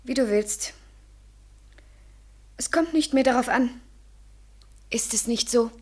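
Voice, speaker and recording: An actress playing a tender, fragile woman parting from her lover in an intimate German radio play, hushed and restrained. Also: on the high side, slow. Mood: resigned. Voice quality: breathy, soft.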